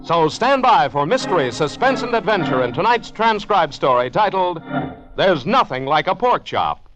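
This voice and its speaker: Male vintage announcer, raised lively voice over music, muffled broadcast sound. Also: sits high, moderate pace. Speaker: radio announcer. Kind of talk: 1940s radio announcing. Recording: dull old radio recording.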